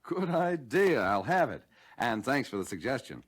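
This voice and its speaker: Animated American diner customer. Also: male voice, mid-range voice, moderate pace, husky. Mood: musing, agreeable. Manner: musing, agreeable. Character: agreeable, curious male lunch counter customer. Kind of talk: scripted 1960 training film acting. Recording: 1960 training film soundtrack.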